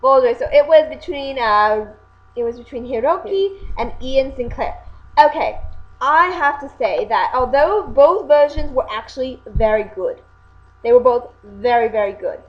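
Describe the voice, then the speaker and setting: A young woman co-hosting a casual video, chatting excitably and often correcting herself. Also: high voice, moderate pace. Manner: animated, self-correcting, excitable. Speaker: video co-host reviewing anime voice acting. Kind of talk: casual chat. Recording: dull lo-fi home recording.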